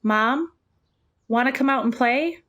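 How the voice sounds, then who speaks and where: Playful daughter, fairly high voice. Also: female voice, a little slow, fairly bright tone. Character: affectionate daughter visiting her dead mother. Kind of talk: radio play acting.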